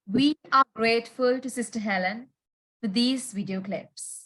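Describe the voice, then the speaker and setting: A woman moderating a panel, reading scripted introductions in a formal, measured way. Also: fairly high pitch, a bit bright, low-quality recording.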